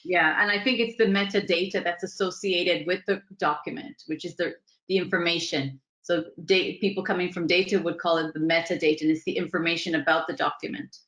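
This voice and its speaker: Lowish-voiced woman moderating in an echoey room. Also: fairly even intonation, brisk, few pauses, a bit bright. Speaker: panel moderator. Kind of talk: conversational virtual conference moderation. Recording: roomy, echoing sound.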